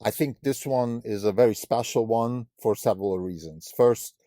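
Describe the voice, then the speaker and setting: A man's voice: lowish voice, moderate pace, mellow, no room sound, studio quality. A Swiss-born man whose first language is German, hosting a solo podcast and talking thoughtfully with frequent fillers and restarts. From Switzerland. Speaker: podcast host and fund manager.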